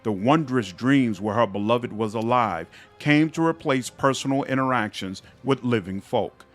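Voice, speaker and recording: A man narrating fantasy game epilogues in a measured, expressive storybook style. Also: medium pitch, close to an everyday voice. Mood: solemn storytelling. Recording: music under the voice.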